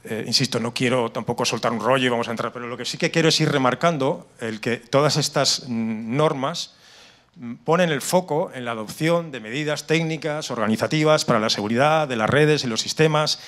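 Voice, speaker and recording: A man's voice: mid-range voice, fairly quick, fairly bright tone. A middle-aged man with a Spanish accent from Spain gives an explanatory conference presentation into a handheld microphone, often restarting and repeating words. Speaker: conference speaker on cybersecurity. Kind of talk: live conference presentation with slides. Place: indoor conference room with seated audience.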